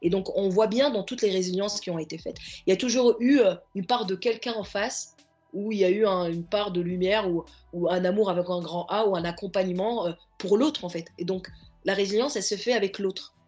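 A woman's voice: medium pitch, a little fast, a little mellow, faint music behind. A woman therapist and coach, a podcast guest, speaking earnestly in reflective interview talk and often circling back to find her words. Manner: earnest, searching for words, stacking restarts.

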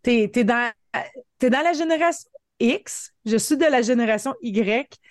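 A woman's voice: a little high, expressive, measured pace, no echo. Chatty Franco-Ontarian woman, dry close sound. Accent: Franco-Ontarian French. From northern Ontario, Canada. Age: 30s. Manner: colloquial, peppered with English words. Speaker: podcast guest, marketing coach. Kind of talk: casual conversational storytelling.

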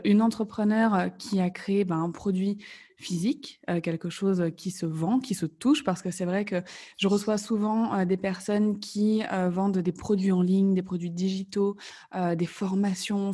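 Chatty podcast host woman, mellow voice. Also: mid-range voice. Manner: friendly, chatty, addresses listener directly. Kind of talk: podcast hosting, casual interview.

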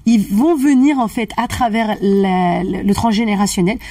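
A woman's voice: medium pitch, normal speed, no echo, far from the mic. Chatty woman explaining transgenerational healing. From family of Algerian roots. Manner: animated, checks in often with the listener. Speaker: holistic family-constellation practitioner. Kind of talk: casual conversational explaining.

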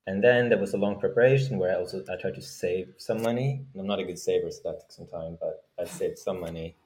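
Man from Norway, low voice, casual interview answers, halting delivery, startup founder. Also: darkish tone, slight background noise.

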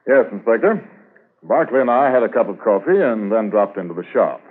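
An actor playing a sharp, businesslike police sergeant in a clipped 1950s radio drama style. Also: male voice, on the low side, animated, brisk. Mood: reporting. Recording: tinny, noisy 1950s radio recording.

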